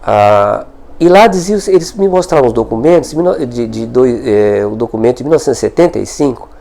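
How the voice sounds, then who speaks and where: An older man, a historian, answering interview questions in a thoughtful way, often pausing and correcting himself as he searches for words. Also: medium pitch, animated, gravelly, warm tone. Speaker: historian guest.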